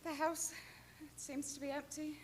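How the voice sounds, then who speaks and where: A woman playing a frail, frightened young gentlewoman in a radio drama, with faltering, tremulous delivery. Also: sits high, little pitch movement, normal speed, lightly acted. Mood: dazed, fearful. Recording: faint background noise.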